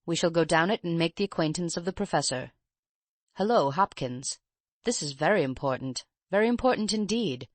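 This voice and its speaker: Audiobook casting: woman as a probing, measured detective, lowish voice, dry and close. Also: expressive, no echo. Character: keen male detective, brisk and probing. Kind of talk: audiobook character reading.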